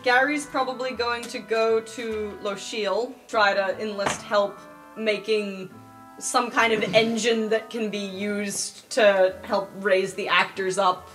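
A woman takes part in a tabletop roleplaying game. She improvises casually in a medium-pitched voice. Light music sits behind her. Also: steady tone, slow, fairly bright tone. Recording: music bed under the voice. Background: background music.

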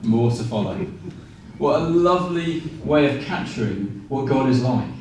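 A man in his forties preaching a sermon with animated gestures and frequent fillers and restarts. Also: medium pitch, measured pace, a little hiss or hum. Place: small room with blinds, indoors. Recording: very echoey room, from a distance.

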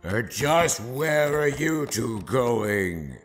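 A man voicing a stern, commanding goat villain with a harsh, booming, gravelly voice in a dramatized story reading. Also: very animated, very slow. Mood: menacing. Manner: harsh, threatening, theatrical. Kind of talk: dramatized fan fiction reading. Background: background music.

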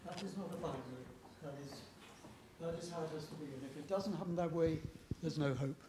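A man's voice: on the high side, fast, mellow, reverberant, far from the mic. A man on a panel objecting firmly and insistently from a lecture room.

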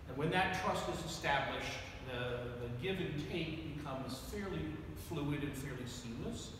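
A man's voice: on the high side, a little slow. Older man, reflective, chatty, far from mic, long echo. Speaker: artist guest. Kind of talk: panel talk. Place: gallery talk room with audience. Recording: echoey room from far off, noisy.

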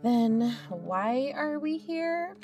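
A woman's voice: high-pitched, lively intonation, drawn-out words, a little mellow, faint music behind, heavily acted. A woman playing a dry, skeptical amateur investigator in a conversational audio drama.

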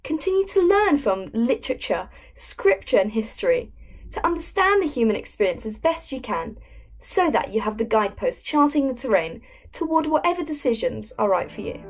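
A young woman reads a speech aloud in an earnest, emphatic style. Her voice is fairly high and moves around in pitch with plenty of animation. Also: very animated, normal speed. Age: young adult. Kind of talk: dramatic speech reading. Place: plain indoor room.

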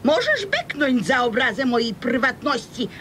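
Radio serial: bossy, huffy female schemer, high and very animated, Podlasie accent. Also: normal speed. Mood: pushy, huffy. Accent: eastern Polish, Podlasie regional. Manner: indignant, bossy, dramatic. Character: pushy, huffy scheming businesswoman. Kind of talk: radio comedy serial acting. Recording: rumbly, noisy radio recording.

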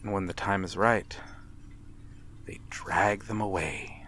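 A man playing a brooding, courteous vampire with quiet menace in a soft-spoken, theatrical ASMR roleplay, dropping into whispers. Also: fairly low pitch, fairly even intonation, takes it slowly, a little hiss or hum. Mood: ominous, predatory. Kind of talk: ASMR roleplay, dramatic monologue.

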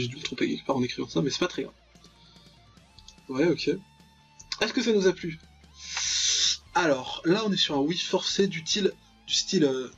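A man's voice: fairly high pitch, husky, dull audio. A man giving casual, joking commentary on a visual novel, ironically paraphrasing and reacting aloud as he plays. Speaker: let's player, channel host.

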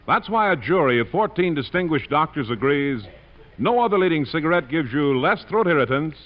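Male 1940s ad announcer, higher-pitched, emphatic and polished, dull old radio sound. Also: expressive, brisk. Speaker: commercial announcer. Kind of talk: 1940s radio advertising read. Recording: muffled 1940s radio broadcast.

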